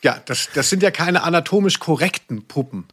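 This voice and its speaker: A man's voice: a little high, lively intonation, fairly quick, a bit bright, slightly rough. A man co-hosting a podcast in casual conversation, with a playful, ironic, teasing manner. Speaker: podcast co-host. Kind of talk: casual chat about audio dramas.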